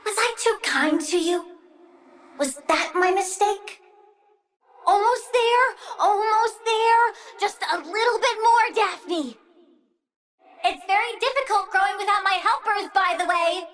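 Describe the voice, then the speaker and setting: A woman's voice: very high voice, slow pace, fairly bright tone, full character voice. A woman playing a childlike plant-human girl villain in a video game, bratty and petulant, swinging from chirpy to gleefully vengeful menace. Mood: taunting, then eager, gleeful.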